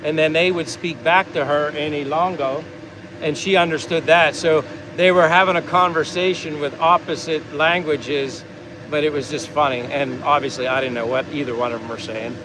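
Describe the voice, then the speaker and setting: A man's voice: a little high, fairly even intonation, normal speed. Chatty American man over street noise. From United States. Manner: talkative, easygoing, rambling. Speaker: vlog host reading viewer comments. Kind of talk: casual livestream chat.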